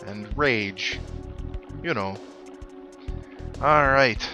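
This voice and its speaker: A man's voice: medium pitch, drawn-out words, muffled recording. Man chatting over video game music. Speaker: let's play host. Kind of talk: casual video game commentary. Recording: game music playing behind the voice.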